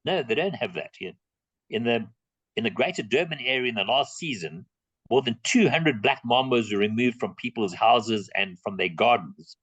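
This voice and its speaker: A man's voice: mid-range voice, expressive, normal speed, fairly bright tone, slightly rough, no echo, low-quality recording. A middle-aged South African man answering audience questions in an online talk, plain-spoken and emphatic, repeating his key points. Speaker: guest speaker on reptiles.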